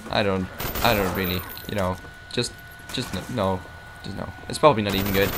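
A man's voice: deep voice, steady tone, brisk. A young man giving casual, rambling, self-mocking commentary while playing a game. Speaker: gameplay commentator. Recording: noisy game audio behind.

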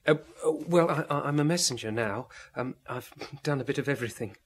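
Actor as meek, husky, hesitant man. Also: mid-range voice, brisk, close to an everyday voice. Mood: nervous. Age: 30s. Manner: hesitant, stammering. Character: meek, anxious, defeated man in his thirties. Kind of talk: old radio drama acting.

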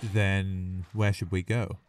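A man's voice: low-pitched, flat delivery, slow, soft background music. A man voicing a stoic, grumpy young angel in a cartoon fandub, curt and reserved. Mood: puzzled. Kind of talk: anime-style cartoon fandub acting.

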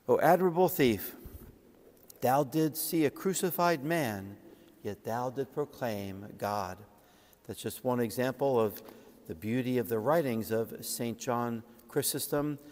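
A man's voice: mid-range voice, darkish tone. Man preaching in a reverberant church. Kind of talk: homily on a saint's life, with readings from writings. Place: large church sanctuary, at the ambo.

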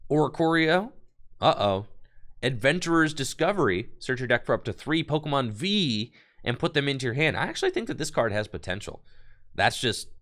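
A man opening card packs on a live stream, reading card text aloud and hyping his pulls for chat, his voice going hoarse. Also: medium pitch, big pitch swings, brisk, fairly bright tone. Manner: enthusiastic, hype-building, reads card text aloud. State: losing his voice.

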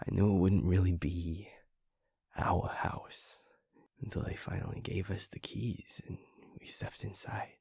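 Husky, breathy young werewolf boyfriend, acted by a man. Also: low voice, fairly even intonation, warm tone, dry recording, in a whisper, clean studio sound, heavily acted. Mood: excited, disbelieving. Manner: halting, sweary, emotional murmuring to a partner. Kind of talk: intimate boyfriend roleplay audio drama.

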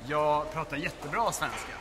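A young man playfully coaching another through a phrase, speaking slowly and clearly. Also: fairly high pitch, background noise. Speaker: sailor teaching a phrase.

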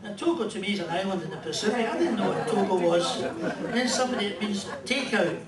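An older man with a Scottish accent telling jokes and a rambling story at a stand mic on a small club stage, in a dry, unhurried way. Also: high-pitched, animated, a loud crowd. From Scotland. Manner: dry, rambling joke delivery with pauses. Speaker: comedian and musician. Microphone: stand mic, filmed from a distant camera. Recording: roomy club sound from far off.

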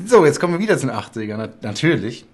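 A man of about forty with a medium-pitched voice hosts a relaxed, chatty interview. He sounds keen and talks in halting bursts, often interrupting himself to rephrase. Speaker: interview host. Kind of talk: casual interview chat. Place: small home studio room.

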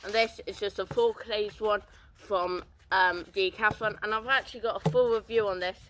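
A man's voice: high-pitched, fairly even intonation, normal speed, pushed and bright, a little hiss or hum, low-quality recording. A teenage boy, rambling casually through outdoor gear in a garden, with many fillers. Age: teens.

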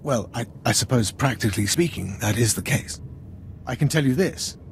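A man's voice: mid-range voice, animated, normal speed, slight background noise, natural voice. An actor playing a deferential, earnest military commander in a video game, speaking formally and apologetically as he explains. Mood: earnest briefing.

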